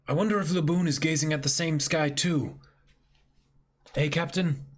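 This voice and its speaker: A man voicing a gentle, sentimental living skeleton who is a pirate musician in a manga reading. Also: a little high, steady tone, normal speed, natural voice. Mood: wistful.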